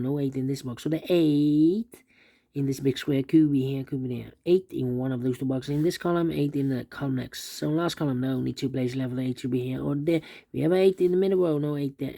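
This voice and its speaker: Gravelly man talking through a puzzle. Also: medium pitch, steady tone, a little fast, dark tone. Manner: methodical, repeats numbers to himself. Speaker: sudoku instructor. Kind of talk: thinking aloud while solving a puzzle.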